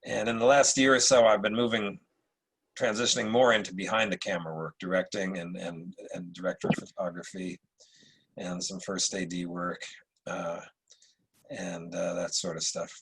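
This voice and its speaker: A mature American man speaks in a low voice with plenty of gravel and a husky edge. He introduces himself casually, rambling and pausing as he goes. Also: level tone, a bit bright, low-quality recording. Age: middle-aged or older. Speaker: actor.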